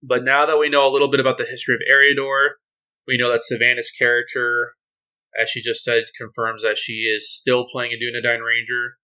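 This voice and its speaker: A male podcast host with a bright, forward voice at a middling pitch. He chats casually and explains things in an encouraging, agreeable way. The recording is a little dull and lo-fi. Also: flat delivery, brisk. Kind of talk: casual explanatory chat about a tabletop game.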